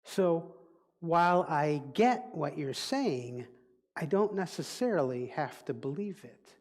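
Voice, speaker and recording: Man, Dutch accent, sermon, unhurried delivery, fairly high voice, long hall reverb. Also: animated, a little mellow. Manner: slow, deliberate, reflective teaching. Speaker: minister. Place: church sanctuary.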